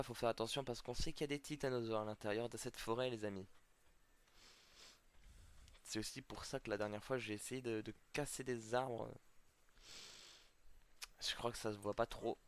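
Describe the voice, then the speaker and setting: A man's voice: medium pitch, fairly even intonation, moderate pace, long pauses, clean tone. A man with a slight cold chatting casually to viewers while he plays a video game, hesitant and full of fillers. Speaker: gameplay video host. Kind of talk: casual let's-play commentary to viewers.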